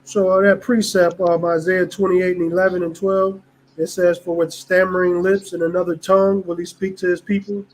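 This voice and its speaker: High-pitched guy reading verses out slow and careful, bit of noise behind. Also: little pitch movement, rough recording. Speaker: class member. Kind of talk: reading scripture aloud in class.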